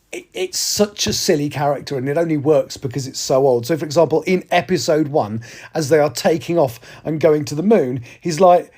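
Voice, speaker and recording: A man's voice: mid-range voice, darkish tone. Rambling man hosting a podcast. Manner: rambling, with frequent ums and self-corrections. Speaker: podcast host. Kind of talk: casual solo podcast monologue.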